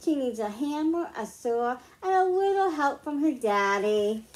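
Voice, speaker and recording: A middle-aged woman reading picture books aloud to young children, explaining slowly and clearly at home. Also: high-pitched, animated. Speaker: children's librarian. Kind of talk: storytime read-aloud for kids.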